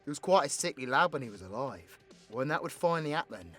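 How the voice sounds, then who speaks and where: Male actor as British supervillain for audio roleplay: cocky, sweary, teasing storyteller, very animated, sharp forceful tone. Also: mid-range voice, quick pace. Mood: conspiratorial storytelling. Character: cocky, foul-mouthed, flirtatious male supervillain, theatrical and tipsy. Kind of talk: villain ASMR roleplay monologue. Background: background music.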